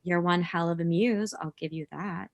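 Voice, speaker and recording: A woman's voice: on the low side, fairly even intonation, moderate pace, vocal fry. An actress playing a blunt, scornful woman of about thirty with a dry, cutting manner in a comedy table read. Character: blunt, sardonic thirty-year-old woman, scornful ex-girlfriend.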